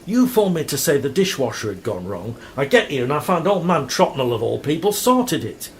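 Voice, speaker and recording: A man's voice: fairly high pitch, very animated, moderate pace, a little hiss or hum. A man acting a stressed, irritable local radio presenter in an audio drama, defensive and hesitant. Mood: weary, exasperated.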